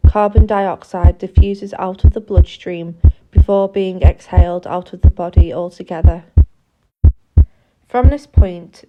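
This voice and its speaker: A woman reading a scripted educational narration in a measured, formal, explanatory manner, like a public information film. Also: on the low side, phone-quality sound, heavy background noise.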